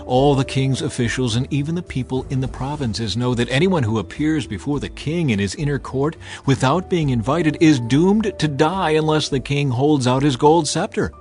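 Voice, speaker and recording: A man voicing a resolute young queen in a solemn, measured manner for a dramatized scripture reading. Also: medium pitch, a little mellow, faint music behind, lightly acted. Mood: grave, cautious.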